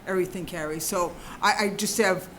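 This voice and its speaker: An older woman, a resident and former teacher, giving public comment at a town board meeting in a loud, direct, teacherly voice. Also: fairly low pitch, fairly even intonation, fairly quick, slight background noise. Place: town meeting hall at a podium.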